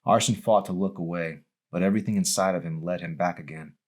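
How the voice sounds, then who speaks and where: A man's voice: low voice, quick pace, a little mellow. A man reading his own novel aloud as a dramatic audiobook narration.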